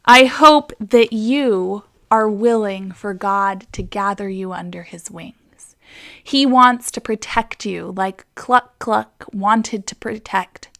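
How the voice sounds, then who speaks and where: A woman presenting a gentle, explanatory devotional talk for children. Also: mid-range voice, slow, gravelly. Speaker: children's story presenter at church.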